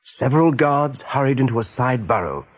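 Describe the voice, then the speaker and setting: A man's voice: medium pitch, expressive, normal speed. A man narrating a scripted radio drama as the storyteller.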